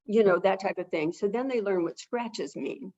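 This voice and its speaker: A woman speaking at an ordinary middle pitch, calm and gentle, who keeps circling back to the same phrases. She is explaining things as a guest on a video-call webinar. The audio is dull and lo-fi. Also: normal speed. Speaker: avian behavior expert, webinar guest. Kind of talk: casual explanatory talk.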